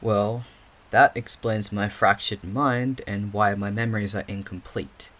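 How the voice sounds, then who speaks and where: A man's voice: on the low side, steady tone, a little slow, fairly bright tone. A man acting a hesitant young man with fractured memories in an audio drama, stammering and thinking aloud. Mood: absorbed, pondering. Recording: music under the voice.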